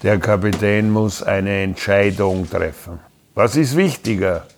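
A brooding seaman in a radio play played by a man. His low voice crackles with gravel and moves at a very slow pace, suspicious and mutinous. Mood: defiant.

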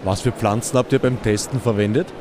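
A man's voice: mid-range voice, fairly even intonation, brisk, noisy background. A man interviewing exhibitors at a fair with brief, direct questions. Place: busy indoor fair hall.

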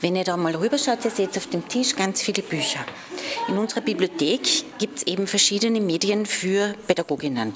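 A woman explaining her organization's work in an interview at a busy conference fair. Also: medium pitch. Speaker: exhibitor at education fair. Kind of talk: interview answers. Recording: busy crowd chatter behind.

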